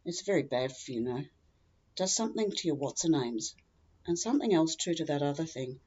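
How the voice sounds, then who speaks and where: A woman's voice: sits low, animated, fairly quick, warm tone, slightly rough, lo-fi sound. A woman with an Australian accent playing a chatty, tactless older woman who rambles on obliviously in a humorous monologue. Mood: nosy, rambling. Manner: rambling, matter-of-fact, oblivious, opinionated.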